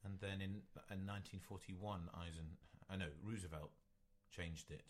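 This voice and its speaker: A man with a notably deep, low voice co-hosting a relaxed podcast. He talks in a meandering, hesitant way, restarting his sentences often. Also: normal speed. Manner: rambling, hesitant, with many restarts. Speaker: podcast co-host. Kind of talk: casual chat.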